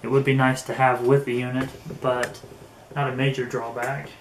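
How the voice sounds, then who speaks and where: Even-toned man reviewing gadgets in echoey room. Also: mid-range voice. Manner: halting, thinking aloud while handling items. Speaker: product reviewer. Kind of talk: casual unscripted gadget demonstration. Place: indoor room. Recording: echoey room, faint background noise.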